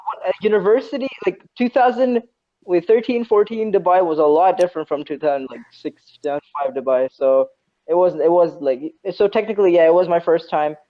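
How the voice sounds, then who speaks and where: A man's voice: sits high, a little fast, slight background noise. A young man from Saudi Arabia chatting casually on a podcast, rambling and hesitant with lots of fillers and some dry teasing. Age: young adult. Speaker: podcast co-host. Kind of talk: casual chat. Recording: dull lo-fi sound.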